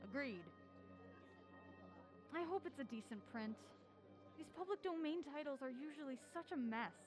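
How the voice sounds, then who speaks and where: A mild-mannered woman with a high voice and dry wit. She banters like a devoted film geek in an audio drama, faint music behind her. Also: expressive, moderate pace. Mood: keen, mild. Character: mild-mannered, film-obsessed woman, video store assistant manager. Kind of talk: naturalistic audio drama dialogue.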